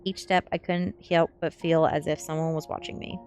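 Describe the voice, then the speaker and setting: A woman's voice: deep voice, level tone, normal speed, darkish tone. A woman reading a story aloud in the manner of a storybook narrator, close to the microphone. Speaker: streamer reading a novel aloud. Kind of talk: storybook narration, ASMR-style read-aloud. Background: faint background music.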